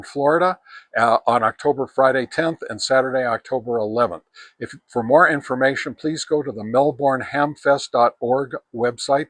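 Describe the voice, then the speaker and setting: A man with an American accent and a mid-range voice hosts a hands-on review. He speaks in a plain, folksy, somewhat halting manner. The sound is dry, with very little room around the voice. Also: mid-range voice, a bit bright, no echo. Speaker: host of a ham radio gear review channel. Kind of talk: casual hands-on product review talk.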